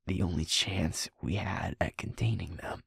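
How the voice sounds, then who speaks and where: A man acts out a sweet young werewolf boyfriend burdened by guilt. His voice is low and gravelly with a lot of breath in it, often sinking toward a whisper. He talks slowly, with heavy pauses, as if confessing in an intimate audio drama. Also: no echo, studio quality, full character voice. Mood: haunted, subdued. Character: sweet young male werewolf, guilt-ridden second in command of his pack. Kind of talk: intimate audio roleplay acting.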